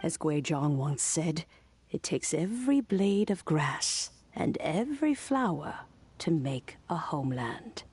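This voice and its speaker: A woman playing a kindly old granny in a video game, speaking in a measured, reflective and gentle way. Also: low voice, very animated, breathy edge, heavily acted. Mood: wistful, fond. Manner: measured, reflective, with soft sighs. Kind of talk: scripted video game dialogue.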